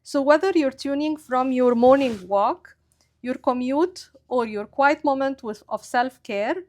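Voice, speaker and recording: A woman hosting a health podcast, reading a prepared introduction and asking interview questions in an upbeat, smiling way. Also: high-pitched. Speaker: podcast host.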